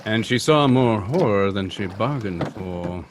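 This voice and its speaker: An actor playing a suave, thoughtful gentleman detective in an old-time radio drama, speaking in a measured, deliberate way. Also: fairly low pitch, expressive. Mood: casual, curious. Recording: live stream with clear background noise.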